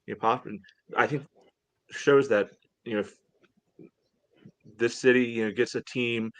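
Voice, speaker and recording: A man's voice: mid-range voice, steady tone, measured pace, a bit bright, dry recording. A man co-hosting a sports podcast, talking casually in short bursts broken up by filler phrases. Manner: peppers talk with "you know". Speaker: soccer podcast co-host.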